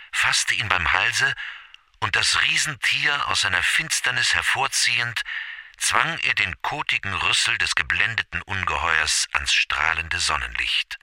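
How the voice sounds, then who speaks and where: An actor playing a stern announcer who reads classical quotations in a formal, declamatory way. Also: male voice, deep voice, animated, a little slow, bright, forceful tone, dry recording, full character voice. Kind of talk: scripted quotation reading.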